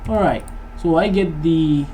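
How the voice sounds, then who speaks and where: A man's voice: fairly high pitch, measured pace, warm tone. Man teaching coding over noisy background. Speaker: programming tutorial host. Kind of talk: casual coding tutorial, thinking aloud. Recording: noisy mic with keyboard typing. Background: background noise, keyboard typing.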